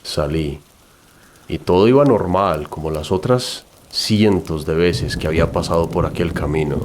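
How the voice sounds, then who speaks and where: A man's voice: low-pitched, darkish tone, slight background noise. A man narrating a scripted first-person horror story.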